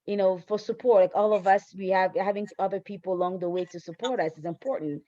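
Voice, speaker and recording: A woman's voice: mid-range voice, fast, dark tone, no room sound. A woman hosting a conversational interview, encouraging and affirming, on muffled lo-fi audio. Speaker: interviewer, host.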